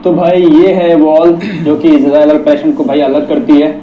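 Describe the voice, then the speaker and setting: A man's voice: a little high, steady tone, normal speed. A man in his twenties with an Indian accent, chatting casually as a travel vlogger who walks outdoors, mixing in broken English and calling viewers brother. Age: 20s. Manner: chatty, says "bhai" often, switches between Hindi and broken English. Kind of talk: casual travel vlog narration. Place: outdoors in streets. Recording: echoey, distant, dull with faint noise.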